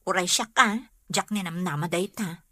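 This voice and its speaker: Bright-voiced, sensible young Ilocano woman. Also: on the low side, normal speed, no echo, studio quality. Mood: firm. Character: sensible, dutiful young working woman, firm and practical. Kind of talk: Ilocano radio comedy-drama acting.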